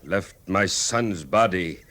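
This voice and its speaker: A stern, wronged war chief in a vintage radio western. His voice is very deep and slow, with halting, stately broken English. Faint noise sits on the old recording. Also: expressive, bright, forceful tone, full character voice. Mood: solemn, aggrieved. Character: solemn, proud, aggrieved Cheyenne war chief. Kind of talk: old-time radio drama acting.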